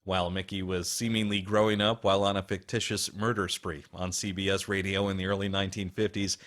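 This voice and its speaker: A man's voice: sits low, little pitch movement, brisk, fairly bright tone. A man hosting a radio show with polished, scripted documentary-style narration.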